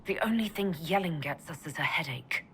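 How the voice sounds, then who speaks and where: An actress playing a blunt, practical soldier who speaks dryly and skeptically. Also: female voice, low voice, animated, moderate pace, fairly bright tone, slight background noise. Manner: blunt, dry.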